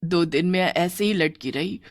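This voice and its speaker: A woman's voice: fairly low pitch, measured pace, dry recording, studio quality, lightly acted. A woman voicing a sorrowful long-armed female witch ghost who sells street snacks, in a plaintive tone.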